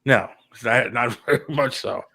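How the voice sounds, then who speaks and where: A man's voice: mid-range voice, moderate pace, creaky, pushed and bright, slightly rough. A man co-hosting a casual talk show, speaking in an earnest, slightly hesitant, peacemaking way.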